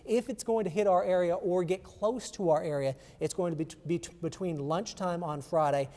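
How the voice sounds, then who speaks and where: A man's voice: high-pitched, brisk, dark tone, in a large hall. An American man delivering a broadcast weather forecast in an explanatory manner.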